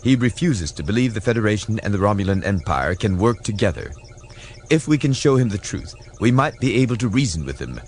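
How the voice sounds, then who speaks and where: An urgent starship captain, as a male narrator plays him, decisive and earnest. The pitch swings up from a low base as he gives clipped orders in a science fiction audiobook, over faint background noise. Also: very animated, fairly quick. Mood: pleading, earnest.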